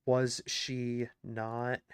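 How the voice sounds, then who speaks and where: A man's voice: medium pitch, monotone, extremely slow, clean tone, dry recording, studio quality. A man commenting on clips in a casual, indignant way, thinking aloud with lots of fillers and restarts. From United States.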